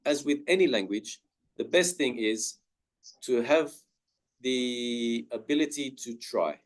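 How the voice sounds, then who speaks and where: Australian man, guest speaker, mid-pitched voice, measured and clear delivery. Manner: slow, careful, clear explanation. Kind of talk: webinar talk.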